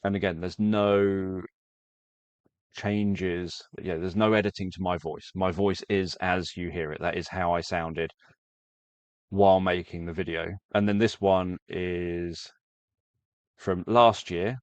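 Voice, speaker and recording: A man's voice: low voice, steady tone, slow, smooth, no echo. A man who makes sleep stories, talking casually to viewers and rambling through his explanations. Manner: rambling, self-correcting explanation. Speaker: sleep story creator.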